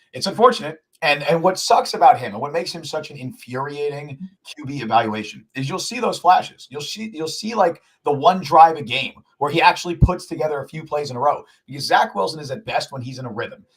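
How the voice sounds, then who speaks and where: A man with a hoarse voice co-hosting a sports podcast, talking fast and emphatically and repeating himself to hammer his points home. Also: on the high side, low-quality recording. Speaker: podcast co-host.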